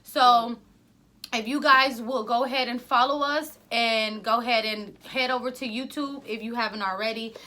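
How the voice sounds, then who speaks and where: Woman podcast co-host, loud, chatty, rambling, pitched a bit high. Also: steady tone, moderate pace. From Ohio, US. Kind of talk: casual podcast chat.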